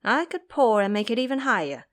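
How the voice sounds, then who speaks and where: A woman's voice: on the high side, big pitch swings, brisk, fairly bright tone. A woman voicing a cunning young male dragon, a sly trickster in an audio drama. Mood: sly, modest.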